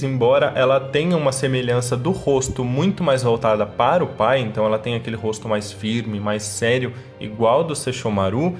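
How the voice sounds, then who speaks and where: A man with a Brazilian Portuguese accent talks at a middling pitch over music. He hosts a channel and explains points to his viewers in a conversational way, often adding little tag questions. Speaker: YouTube channel host. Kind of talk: explainer commentary to viewers, conversational top-ten list. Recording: clear background music behind voice.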